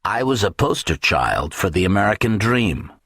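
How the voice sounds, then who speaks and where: A man's voice: fairly low pitch, sing-song, normal speed. A man narrating an audiobook, a scripted first-person comic novel, with a wry, dramatic delivery.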